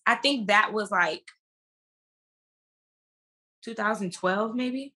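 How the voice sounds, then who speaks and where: A woman's voice: mid-range voice, takes it slowly, pushed and bright. A woman speaking as a podcast guest in casual chat, with an informal, slangy way of talking. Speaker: podcast guest, actress and business owner.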